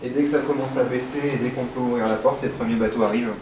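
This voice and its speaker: A man's voice: medium pitch, steady tone, quick pace. A man from the coast, explaining his work as a lighthouse keeper in casual interview talk. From France, Vendée coast. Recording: clearly noisy background.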